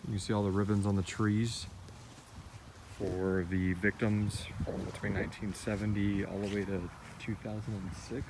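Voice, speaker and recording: American man, low voice, informal rambling co-host answering questions casually, some ambient noise. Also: little pitch movement, moderate pace. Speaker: co-host and writer of a horror fiction series. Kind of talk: casual Q&A chat. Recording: clear background noise and ambience.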